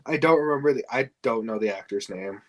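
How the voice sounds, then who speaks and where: A man's voice: medium pitch, brisk. Hesitant male podcast co-host. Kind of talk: casual podcast chat about fan casting.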